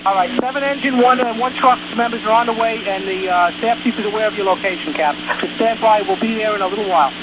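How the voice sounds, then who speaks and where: A man's voice: high voice, fast, hardly any pauses. A man working as an emergency dispatcher, speaking over two-way radio in a clipped, directive procedural way. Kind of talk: fire department radio dispatch. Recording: very noisy radio dispatch recording.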